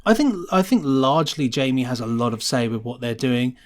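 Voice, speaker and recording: A man's voice: medium pitch, lively intonation, fairly quick. Chatty, enthusiastic man in casual conversation. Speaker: podcast guest, sound designer. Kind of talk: casual interview chat.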